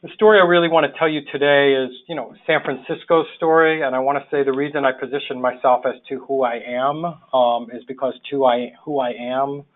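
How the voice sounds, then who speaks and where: A man's voice: medium pitch, fairly even intonation, a little fast, few pauses. Phone-line New York man of sixty. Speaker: webinar presenter, public health social worker. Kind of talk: informal slide presentation. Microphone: phone or webinar call. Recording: thin phone-line webinar audio.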